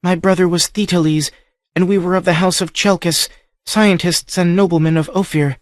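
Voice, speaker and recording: A desperate young noblewoman captive, voiced by a male audiobook narrator, her voice lifted high above his own. She pleads in a breathless, urgent rush, and the recording is close and dry with no room sound. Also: steady tone, normal speed, no echo, lightly acted. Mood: hurried, grieving. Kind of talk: audiobook character voice.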